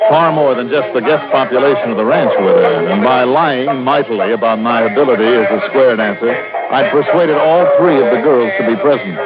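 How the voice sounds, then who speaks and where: An actor playing a wry, smooth-talking investigator who also narrates in an old-time radio detective drama. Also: male voice, medium pitch, brisk, over loud music, close to an everyday voice. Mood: narrating, hard-boiled. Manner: wry, dry. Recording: tinny old radio recording.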